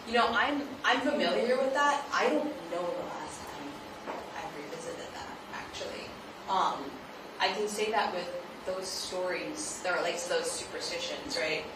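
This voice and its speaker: A woman author answers questions at a live event in a slightly low voice. She speaks thoughtfully and haltingly, hedging as she goes. The recording is very echoey, with clear background noise. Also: lively intonation, recorded from a distance. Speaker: guest author at a book talk. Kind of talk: unscripted audience question-and-answer talk. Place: live event venue, picked up from afar.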